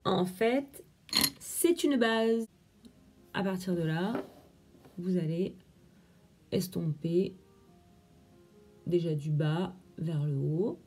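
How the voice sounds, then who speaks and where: A woman's voice: on the low side, very slow, pauses often, dark tone, breathy edge, faint music behind. A young woman chatting through a makeup tutorial, explaining each step as she works. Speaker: beauty vlogger.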